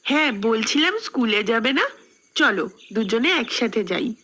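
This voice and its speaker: A woman playing a sweet, affectionate village schoolgirl, coaxing softly in a cartoon. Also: a little high, very animated, unhurried, heavily acted. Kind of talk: cartoon dialogue.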